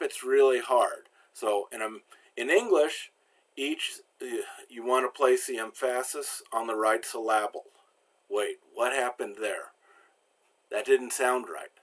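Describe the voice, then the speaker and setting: An older American man gives a relaxed, self-deprecating slide lesson from a home study, talking into a webcam. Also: medium pitch. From United States. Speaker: teacher.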